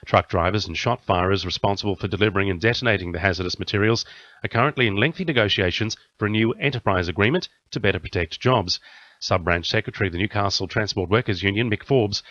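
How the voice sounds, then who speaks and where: An Australian man reads scripted news in a fairly low voice. He delivers it as a bulletin newsreader. The recording is very dry, with a slightly muffled top end. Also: quick pace, fairly bright tone, no echo. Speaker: news reader.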